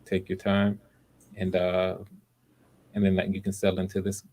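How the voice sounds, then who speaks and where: A man's voice: sits low, completely flat delivery, normal speed, darkish tone. A man chatting casually and encouragingly as he hosts online auditions. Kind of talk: casual livestream chat.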